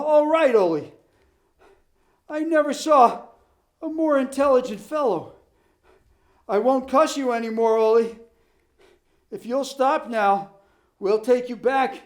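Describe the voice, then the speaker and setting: A man's voice: very high-pitched, pitched above the performer's own voice. A man voicing a blustering football captain who scolds and pleads while out of breath. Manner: sputtering, scolding, then pleading.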